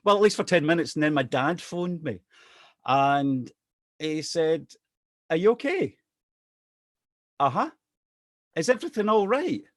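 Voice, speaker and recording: A man's voice: a little high, very animated, unhurried, pauses often, no room sound, clean studio sound. A middle-aged man from Scotland, telling a personal anecdote in a casual, joking way with restarts. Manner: chatty, self-deprecating humour, restarts. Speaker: storyteller.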